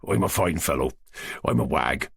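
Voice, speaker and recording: A man's voice: rumbling low, normal speed, creaky, breathy edge, pitched below the performer's own voice, exaggerated voice. A man playing a boisterous old Cossack rogue. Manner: loud, teasing, emphatic.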